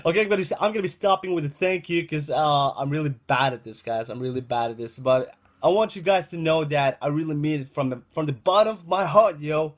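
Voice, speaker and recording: A young man giving casual gameplay commentary, repeating himself and talking to viewers as "guys," with a dry throat, recorded indoors on a webcam setup. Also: a little high. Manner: rambling, repeats phrases, addresses viewers as guys. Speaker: gaming channel host.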